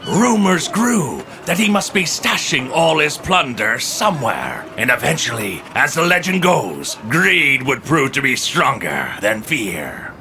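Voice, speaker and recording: A hammy old pirate radio captain with a forceful, very bright voice and a stage pirate accent. His pitch swoops dramatically as he spins a theatrical sea legend, with gravel in the tone and faint noise behind. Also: sing-song, measured pace, hardly any pauses, full character voice. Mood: ominous storytelling. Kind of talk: theatrical scripted storytelling.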